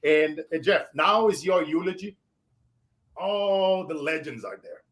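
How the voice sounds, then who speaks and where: A man in his forties with a Brazilian accent, hosting a show and giving emphatic motivational shout-outs. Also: a little high, expressive, a little slow. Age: 40s. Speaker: show host. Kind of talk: motivational interview wrap-up.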